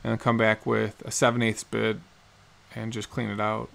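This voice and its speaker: A man in his forties, a guitar builder, calmly explaining each step of his work in a matter-of-fact how-to narration in his workshop. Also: fairly low pitch, normal speed, vocal fry, slight background noise. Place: cluttered workshop indoors.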